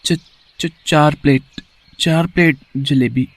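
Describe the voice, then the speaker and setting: A man's voice: fairly high pitch, fairly even intonation, slow pace, dry recording. A man voicing a humble, good-hearted poor working boy in a cartoon story, polite and earnest. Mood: timid. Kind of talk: acted cartoon story dialogue.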